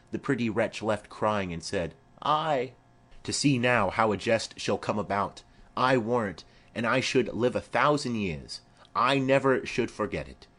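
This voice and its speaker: A man's voice: lowish voice, a bit bright. A man voicing a garrulous, fond old female nurse in a Shakespeare play, rambling and exclamatory. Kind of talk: amateur-style Shakespeare play reading.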